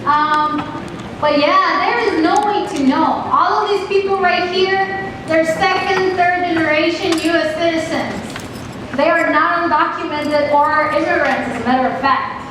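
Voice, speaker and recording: A woman's voice: very high-pitched, fairly even intonation, unhurried, noisy background. A woman from Mexico with a Mexican Spanish accent, giving an earnest, direct talk to a class and asking the students questions. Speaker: guest speaker to a class. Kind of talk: classroom presentation. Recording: echoey classroom from far off.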